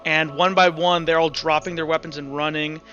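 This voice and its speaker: A man acting as game master, improvising tabletop narration in a casual, halting storytelling style. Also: a little high, level tone, sharp tone, highs cut off. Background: faint music.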